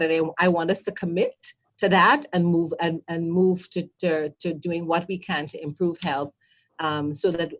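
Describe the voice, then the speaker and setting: A woman from Trinidad and Tobago with a Trinidadian accent, speaking earnestly as a healthcare advocate on a panel and often stumbling over repeated words. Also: on the low side. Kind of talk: passionate testimony on a panel.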